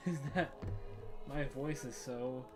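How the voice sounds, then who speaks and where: A man's voice: mid-range voice, slow pace. A man chatting casually as he plays a video game, laughing often and rambling in asides. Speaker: let's play streamer. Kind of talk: casual gameplay commentary. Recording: game music under voice. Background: game music.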